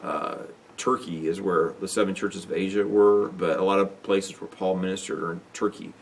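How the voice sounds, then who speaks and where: A man's voice: low voice, steady tone, moderate pace, gravelly, distant mic, a little hiss or hum. A man teaching a Bible lesson in an unscripted lecture, rambling with lots of fillers and restarts.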